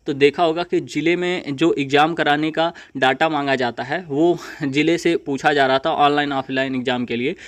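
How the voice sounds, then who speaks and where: A young man gives an informal update to viewers, explaining in a halting, repetitive way. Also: a little high, no room sound. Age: young adult. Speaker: exam update video presenter.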